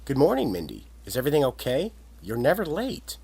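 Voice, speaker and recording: A woman playing a worried, hesitant male office colleague with a husky voice in an audio horror drama. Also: extremely low pitch, wildly swooping pitch, brisk, a little mellow, slight background noise, heavily acted. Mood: concerned.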